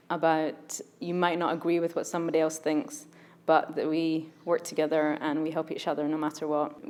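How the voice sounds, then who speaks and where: A woman's voice: lowish voice, darkish tone. A woman teacher speaking earnestly and with enthusiasm about her school in an interview. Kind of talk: interview answers.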